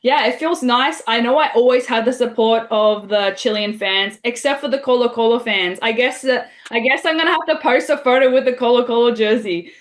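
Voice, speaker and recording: A young woman from Australia answering interview questions in a relaxed, chatty way, with many fillers. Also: a little high, brisk, hardly any pauses. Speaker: interview guest.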